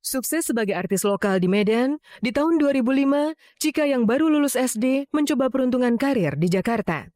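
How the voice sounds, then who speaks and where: A woman reading formal scripted narration in a measured storytelling manner for an entertainment profile. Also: a little high, a little mellow, dry recording, studio quality.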